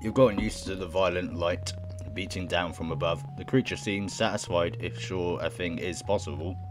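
A young man reading a visual novel aloud in a halting way, with casual reactions of his own. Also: low voice, fairly even intonation, over music. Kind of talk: let's play, reading game text aloud with casual reactions. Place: indoor room at home.

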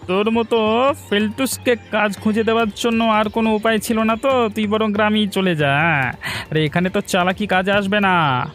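A man's voice: high voice, steady tone, normal speed, fairly bright tone, soft background music. A man voicing a cocky, mocking young man in a cartoon moral story, arguing in a taunting, bullying manner. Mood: threatening, scornful. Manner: taunting, argumentative.

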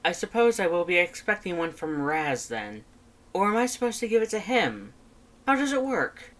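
A woman's voice: on the low side, big pitch swings, normal speed, a bit bright, voice pushed up. A woman voicing a proud, competitive male skeleton in a fan fiction audiobook, speaking emphatically and formally with theatrical flair. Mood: indignant.

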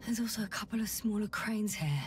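A woman voicing an observant, level-headed space scientist in a video game, reporting what she sees in a measured way. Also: medium pitch, recorded from a distance, music in the background, lightly acted. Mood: calm, observant.

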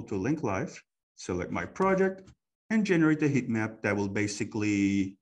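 A man giving an unscripted product demonstration as an expert, explaining technical steps conversationally. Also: medium pitch.

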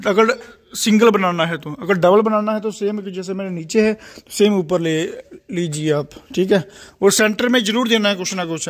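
A man with a Punjabi-tinged Hindi accent walking through his poultry shed, explaining in a plain, repetitive way and speaking straight to the viewer, recorded on a phone he carries. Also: high voice. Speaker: poultry farmer giving a shed tour. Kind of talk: casual walk-through explanation. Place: large open-sided poultry shed under construction. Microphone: phone camera held while walking.

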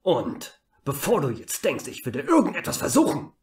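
Courteous, lively old gentleman played by a man. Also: a little high, moderate pace, far from the mic. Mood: dry reassurance. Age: older. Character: old man Joe, courteous, wry, gallant friend. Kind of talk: audio drama acting.

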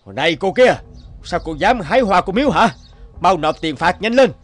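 A gruff temple bully from an animated fairy tale. He is greedy and demanding, and his voice runs fairly high for a man. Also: normal speed.

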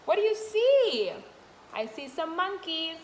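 A young woman speaks slowly to toddlers in a sing-song teaching manner, as if at storytime. Her pacing is unhurried, and she is recorded in an echoey classroom with faint room noise behind her. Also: very high-pitched, big pitch swings. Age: young adult. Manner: sing-song, addressing small children with simple questions. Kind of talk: children's read-aloud and teaching to camera. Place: indoor classroom, sitting on the floor.

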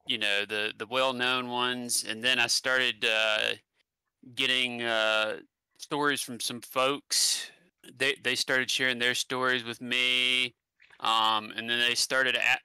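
Bright-voiced West Virginian man, halting. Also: mid-range voice, smooth, dry recording, low-quality recording. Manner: halting, many fillers and restarts. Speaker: guest, paranormal channel creator. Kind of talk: casual conversational interview. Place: home room, indoors. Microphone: headset mic on a video call.

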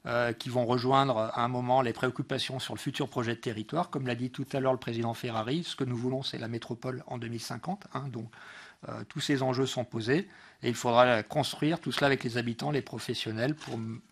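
Man, medium-pitched voice, councillor's political speech, halting delivery, frequent pauses and restarts. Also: fairly even intonation, slightly rough. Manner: hesitant delivery, frequent pauses and self-corrections. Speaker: local councillor. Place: council meeting room.

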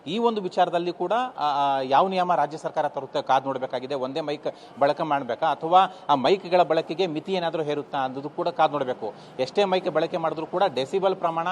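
A man's voice: a little high, a little fast. A man with a Kannada accent giving a live news report in long explanatory phrases with hesitant gaps. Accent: Kannada, Karnataka. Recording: faint ambient noise.

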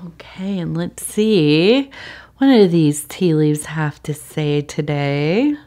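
Slow, low-voiced congested woman. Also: animated. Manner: slow, meandering, with many fillers and soft laughs. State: congested from a cold. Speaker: tea leaf reader. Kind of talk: soft-spoken ASMR tarot-style reading.

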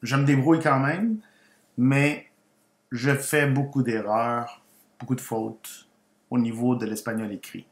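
A man's voice: medium pitch, fairly even intonation, a little slow, long pauses. Guy from guatemala, spanish is his first language, teacher telling his story phrase by phrase, mid voice, roomy echo. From Guatemala, moved to Quebec, Canada aged ten. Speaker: language teacher and channel host. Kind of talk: personal story told as a slow educational narration. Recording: roomy, echoing voice recording.

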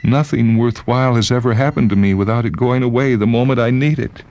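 A man's voice: mid-range voice, fairly quick, darkish tone, no room sound, voice pushed up, natural voice. A man voicing a distraught, bitter middle-aged woman in a short-story reading, with anguished exclamations.

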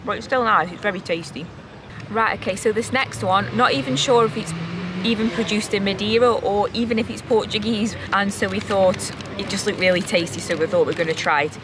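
Woman in her thirties, casual travel vlog chat, medium pitch, noisy open-air recording. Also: fairly even intonation, brisk. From Britain. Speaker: travel vlogger. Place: outdoors in a city park. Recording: noisy outdoor location sound.

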